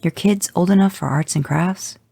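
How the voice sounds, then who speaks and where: A brash hunter who talks gruff and hurried, as a male reader plays him. The voice is gravelly and dark, with a dry, close sound. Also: high voice, no room sound, voice pushed up. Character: brash, impatient man, a monster hunter.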